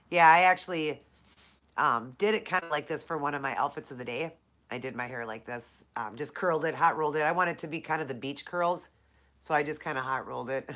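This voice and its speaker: Chatty low-voiced woman with a stuffed nose. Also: a bit bright. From Minnesota, USA. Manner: chatty, greets viewers by name. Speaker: live-stream host. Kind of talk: casual live Q&A chat.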